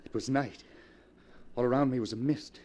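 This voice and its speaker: An actor playing a haunted, obsessed husband in love with a ghost, intense and melodramatic in 1940s radio style. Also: male voice, medium pitch, steady tone, moderate pace, darkish tone, lightly acted. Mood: dazed, dreamy.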